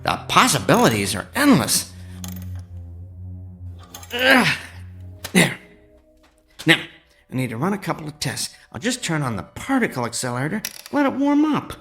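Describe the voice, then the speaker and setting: Casting: middle-aged tinkering husband, meek and fussy, wildly swooping delivery, comic radio drama over music. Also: male voice, a little high, huge pitch swings, moderate pace, creaky, long pauses, pushed and bright, exaggerated voice. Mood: absorbed, hopeful. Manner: fussy, muttering to himself, stammering and meek when addressed. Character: henpecked, excitable amateur inventor husband, middle-aged.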